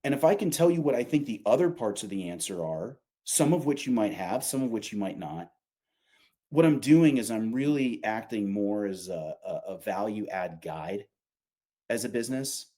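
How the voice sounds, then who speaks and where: A man's voice: fairly low pitch, animated, normal speed, dark tone. A man talking informally and emphatically, explaining his company's product in a conversational way with casual asides.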